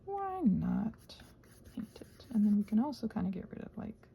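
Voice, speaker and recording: A soft-spoken woman chatting casually and musing aloud as a craft vlogger, often slipping toward a whisper and gently reassuring herself. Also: medium pitch, very animated, normal speed, gravelly, highs cut off, a little hiss or hum. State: short on sleep. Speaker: craft vlogger and ASMR host. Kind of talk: casual rambling craft chat.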